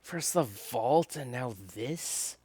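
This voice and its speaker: A streamer voicing a wry, practical investigator in a visual novel, dry, measured and understated. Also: mid-range voice, expressive, warm tone, a little hiss or hum. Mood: dry, confident.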